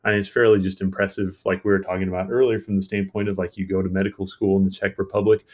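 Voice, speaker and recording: Low-voiced man co-hosting a podcast. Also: flat delivery, fast, darkish tone. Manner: rambling, filler-heavy, enthusiastic. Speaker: podcast co-host. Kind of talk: casual podcast intro.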